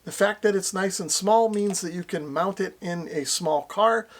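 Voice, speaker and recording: A man's voice: sits high, moderate pace. A middle-aged American man giving a casual product review to camera as a radio reviewer. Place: small indoor workshop at a radio bench.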